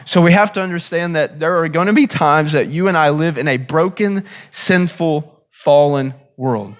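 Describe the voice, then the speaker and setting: A man preaching with a slightly higher voice than most men. He sounds a little hoarse, and he teaches in an earnest way, circling back over his phrases. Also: moderate pace. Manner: earnest teaching, repeats phrases, restarts often. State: hoarse, recovering from lost voice. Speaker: pastor. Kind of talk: sermon.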